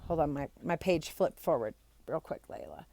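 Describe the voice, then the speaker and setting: A woman reading a children's book aloud in an expressive, animated storytelling style. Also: low voice, normal speed, gravelly. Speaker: amateur storybook reader.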